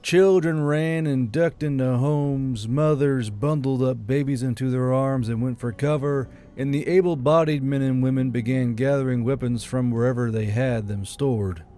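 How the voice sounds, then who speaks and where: A man's voice: medium pitch, steady tone, moderate pace, few pauses, dark tone, faint music behind. A man narrating an audiobook story in a dramatic, scripted style.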